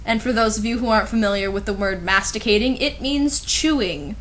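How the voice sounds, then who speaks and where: A woman's voice: mid-range voice, brisk, fairly bright tone. A woman doing a comedic dramatic reading with sarcastic, exasperated asides. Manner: mocking, exasperated. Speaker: host of a comedic fan fiction commentary.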